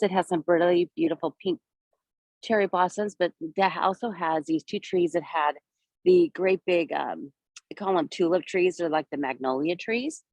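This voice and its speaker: A woman with a cold-worn, hoarse voice leads a live outdoor walking tour, chatting and pointing things out as she goes. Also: on the low side, no echo, poor audio. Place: outdoors in a city park and streets.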